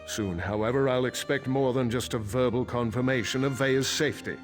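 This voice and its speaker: A man's voice: mid-range voice, fairly quick, music in the background, close to an everyday voice. An actor voicing a formal, dignified councilor and worried father in a fantasy video game. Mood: formal, resolute. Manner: formal, grave, stiff. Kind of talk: video game character dialogue.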